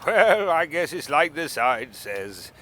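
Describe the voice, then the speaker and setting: A man's voice: a little high, sing-song, takes it slowly, sharp tone, heavily acted. A man playing a folksy, grumbling married farmer in a parody of a radio soap. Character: grumbling old-fashioned married male farmer.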